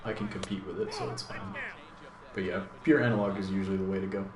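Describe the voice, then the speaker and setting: Deep-voiced man, echoey room, noisy background. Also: fairly even intonation, quick pace, a little mellow. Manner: thinks aloud, laid-back, rambling with fillers. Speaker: gaming channel host. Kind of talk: casual live gameplay commentary.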